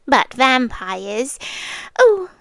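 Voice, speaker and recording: A woman's voice: sits high, very animated, very slow, a bit bright, no echo, exaggerated voice. A British woman voicing a centuries-old vampire countess in a girlfriend ASMR roleplay, intimate and theatrical, possessive and adoring, often slipping into whispers. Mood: intense, solemn.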